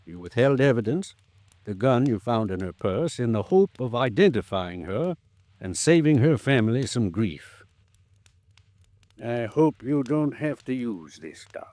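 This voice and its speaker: A man playing a formal, frank, fatherly older lawyer in an old-time radio drama, speaking in a measured, protective way. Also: mid-range voice, lively intonation, a little mellow, dry recording, natural voice. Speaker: supporting actor.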